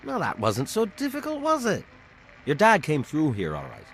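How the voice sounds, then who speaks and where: An actor playing a sly, mercenary information dealer in a game, with a smug, oily, teasing manner. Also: male voice, fairly high pitch, wildly swooping pitch, moderate pace, a bit bright, soft background music, exaggerated voice. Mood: smug, wheedling.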